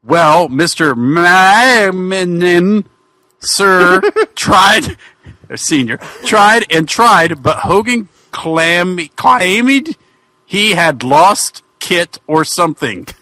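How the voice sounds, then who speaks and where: A man acts out a dim-witted ghost of an old wrestler in a comic fan-fiction reading. He rambles in a bright, fairly high voice with big swings of pitch, folksy and bumbling. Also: very animated, very slow, no echo, exaggerated voice. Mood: rambling, folksy. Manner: rambling, bumbling, colloquial delivery.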